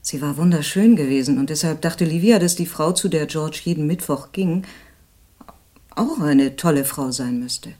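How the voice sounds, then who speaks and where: A woman's voice: sits low, moderate pace, mellow. Confiding, opinionated woman friend. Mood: reminiscing. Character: gossipy, pitying woman, loyal friend testifying. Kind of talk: audio drama acting.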